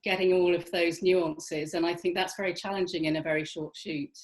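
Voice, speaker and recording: A woman gives her view as a writer in a relaxed panel discussion. She speaks conversationally, with a voice that sits a little lower than average. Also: a little fast, low-quality recording. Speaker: screenwriter, panel guest. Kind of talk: casual panel interview.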